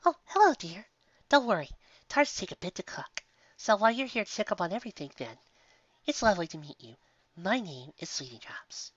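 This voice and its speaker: A woman voicing a gentle, motherly mare who runs a sweets shop, with a sweet, doting manner. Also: mid-range voice, very animated, fairly quick, breathy edge, no echo, pitched above the performer's own voice. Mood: welcoming. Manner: sweet, doting, calls everyone dear. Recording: slightly muffled recording.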